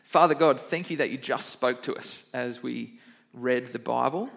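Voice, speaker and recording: A man's voice: mid-range voice, moderate pace, gravelly, fairly bright tone. A young man, a kids pastor, giving a chatty, upbeat children's sermon in church and quizzing the kids.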